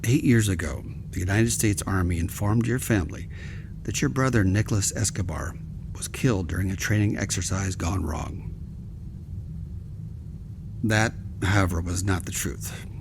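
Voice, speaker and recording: A man acting a grave, formal senior admiral in an audio drama, speaking in a measured, deliberate way. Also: sits low, vocal fry, noisy background. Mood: solemn.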